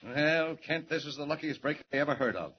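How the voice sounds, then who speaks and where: A man playing a gruff, blustering newspaper editor in a 1940s radio drama, on a muffled, noisy recording. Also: a little high, animated, fairly quick, natural voice. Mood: blustering, brisk.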